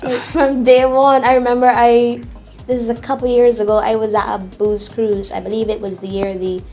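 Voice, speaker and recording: A woman's voice: on the high side, moderate pace, soft background music. A woman singer with a Barbadian accent, chatting casually in an interview and telling stories with a laugh. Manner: lively, laughing storyteller.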